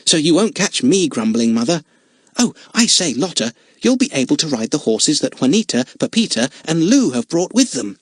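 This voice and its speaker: Brief: man playing a bright, bouncy boy, raised pitch, husky grain. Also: moderate pace, no echo. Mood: excited. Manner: bright and excited. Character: cheerful young circus boy, lively.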